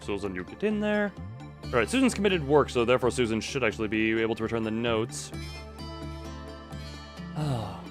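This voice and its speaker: A man's voice: medium pitch, animated, normal speed, pauses often, music in the background. A man giving casual livestream commentary on a game, rambling and breaking off his own sentences with wry asides. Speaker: video game streamer.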